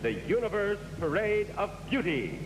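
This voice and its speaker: Higher-voiced male pageant announcer, echoey hall. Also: huge pitch swings, normal speed. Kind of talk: formal live television announcing. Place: large auditorium stage. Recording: echoey 1960s auditorium broadcast, loud music behind. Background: loud music.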